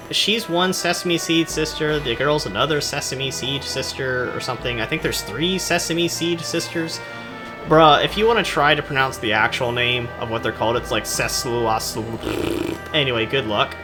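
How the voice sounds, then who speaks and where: A man hosting casual comedic commentary on game cutscenes, joking and rambling in slangy speech. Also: medium pitch. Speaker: video host.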